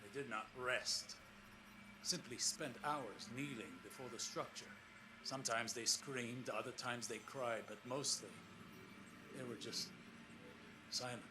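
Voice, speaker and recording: An actor playing a weary, scholarly explorer in a science fiction game, recording a log in a measured, grave, formal way with brooding pauses. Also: male voice, mid-range voice, background noise, natural voice. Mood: uneasy, haunted. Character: weary, scholarly male sci-fi explorer, solemn and troubled.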